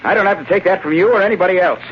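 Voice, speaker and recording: A man's voice: high voice, rapid-fire, close to an everyday voice. A man playing an earnest, defiant inspector protesting his treatment in an old radio drama, on a muffled, hissy recording. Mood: indignant, defiant. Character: indignant, defiant male highway inspector standing his ground.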